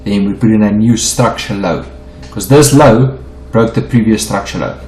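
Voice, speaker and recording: A low-pitched South African man with Afrikaans as his mother tongue, talking through a chart lesson in a loose, halting way with restarts and repeats. He sounds like he's in an echoey room, with a faint hiss behind him. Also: a little mellow. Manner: stumbling, repeats himself while explaining. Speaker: forex trading teacher. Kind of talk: unedited screen-share chart walkthrough.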